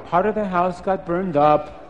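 A man's voice: high voice, unhurried, in a large hall, highs cut off. A man voicing village townsfolk who confide sad news. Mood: sorrowful. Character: gossiping village townsfolk, sorrowful.

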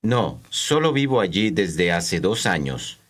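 A man reading scripted language-course dialogue, enunciating slowly and carefully for learners. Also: deep voice, big pitch swings, fairly bright tone.

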